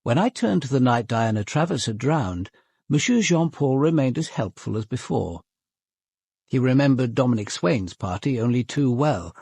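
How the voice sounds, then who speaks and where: An actor playing a methodical, composed British police detective narrating an investigation in a radio drama, with probing questions. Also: male voice, medium pitch, expressive, moderate pace, gravelly, a little mellow, breathy edge, no room sound.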